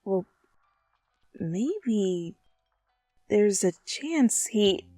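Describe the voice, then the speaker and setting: A woman reading a story aloud as dramatic, expressive narration, addressing the listener as the main character. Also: mid-range voice, extremely slow, dry recording, faint music behind. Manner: theatrical, expressive. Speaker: fan fiction reader.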